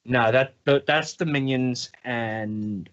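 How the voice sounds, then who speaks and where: A man's voice: mid-range voice, slow pace, fairly bright tone. A man hosting a podcast, chatting casually in a playful, bantering way. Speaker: podcast host. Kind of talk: casual chat. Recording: dull lo-fi audio.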